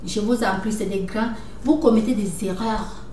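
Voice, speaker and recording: A woman's voice: mid-range voice, fairly even intonation, moderate pace, mellow. A woman talking straight to her viewers in a lecturing way, restarting often and asking "right?" again and again. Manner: lecturing, repeats "n'est-ce pas", many restarts. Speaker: video commentator. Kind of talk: casual monologue to viewers. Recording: echoey room, somewhat far from mic, faint noise.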